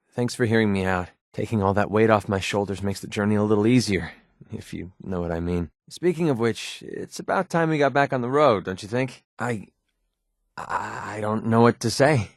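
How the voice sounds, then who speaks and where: A man's voice: fairly low pitch, moderate pace, no echo, studio quality, lightly acted. A man voicing a young, wisecracking mercenary companion in a video game, with an American accent and an informal, sardonic delivery. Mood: sincere, hesitant.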